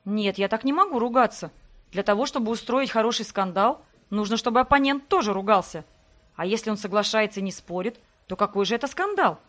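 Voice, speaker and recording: A woman voicing a hot-tempered, sharp-tongued young heroine who shouts in indignant exasperation. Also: medium pitch, animated, a little fast, lightly acted. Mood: furious.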